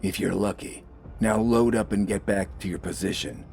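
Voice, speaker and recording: A man's voice: on the low side, moderate pace, gravelly, a little mellow, slight background noise, pitched above the performer's own voice. A man voicing a hardened, stern soldier in an audio war story, curt and barking his orders. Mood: curt, reproachful. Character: gruff, hardened male soldier, a stern corporal.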